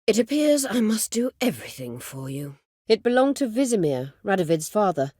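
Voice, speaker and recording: An actress playing an imperious, scheming middle-aged sorceress in a video game, speaking formally and with measured, biting sarcasm. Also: female voice, mid-range voice, very animated, mellow, no echo, studio quality. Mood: sardonic, haughty. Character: imperious, scheming sorceress, proud, sardonic and vengeful. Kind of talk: video game character dialogue.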